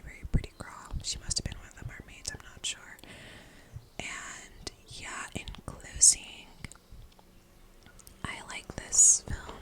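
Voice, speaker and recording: A young woman giving a casual, informal movie review, whispering nearly the whole time in a breathy, unvoiced voice. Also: poor audio. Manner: informal, slangy, rambling. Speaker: film reviewer.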